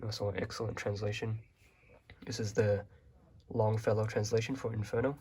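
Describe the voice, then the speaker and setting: Husky low-voiced young man, flat delivery. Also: moderate pace. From Hong Kong. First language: Chinese. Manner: relaxed and rambling, many fillers and hesitations. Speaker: vlogger. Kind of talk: casual bookshelf tour.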